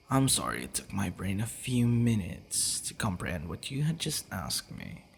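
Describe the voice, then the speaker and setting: Man acting a low, gravelly, devoted vampire lover. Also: exaggerated voice. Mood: tender, flustered. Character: possessive, teasing, devoted male vampire lover. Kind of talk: ASMR boyfriend roleplay, intimate monologue.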